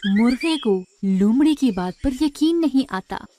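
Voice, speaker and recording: Female narrator for children's tales: slightly high voice, very unhurried scripted delivery. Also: expressive, dark tone, no room sound.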